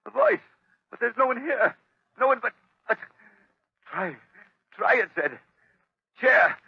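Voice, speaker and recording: An anxious gentleman in an old radio play. His voice is high and husky, swooping widely as he falters. The recording is dull and old. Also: big pitch swings, slow, no echo, heavily acted. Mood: bewildered, fearful. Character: anxious, panicky gentleman in money trouble. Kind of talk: 1930s radio acting. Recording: muffled old 1930s radio broadcast.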